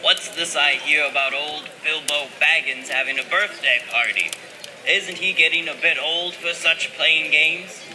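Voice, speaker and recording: Casting: male actor as spiteful hobbit miller, very sharp forceful voice, fairly high, carping and suspicious, rustic audio drama. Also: unhurried, slight background noise. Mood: disapproving. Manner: carping, insinuating, rustic phrasing. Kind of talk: dramatized audio play dialogue.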